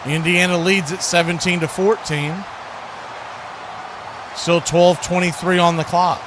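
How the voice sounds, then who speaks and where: A male play-by-play announcer calls live football in a high-pitched voice. Clear background noise sits behind his commentary as he follows the game snap by snap. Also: flat delivery, unhurried.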